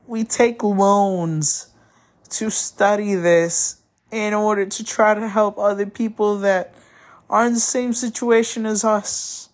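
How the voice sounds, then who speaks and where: A woman whose first language is Spanish, hosting a podcast and talking candidly about a breakup, often pausing to find her words. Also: medium pitch, a little mellow. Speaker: podcast host. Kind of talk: personal monologue.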